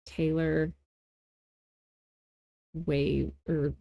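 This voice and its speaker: Low, mellow-voiced woman giving a casual tutorial. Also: flat delivery, very slow, creaky, dry recording, studio quality. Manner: playful, self-deprecating asides, folksy informal grammar. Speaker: tutorial host. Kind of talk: casual, unscripted software tutorial narration.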